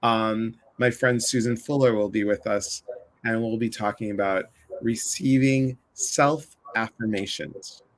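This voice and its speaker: A man's voice: lowish voice, steady tone, a little slow, low-quality recording. A man from the Chicago area hosting a casual livestream conversation, chatty and full of asides. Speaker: livestream host.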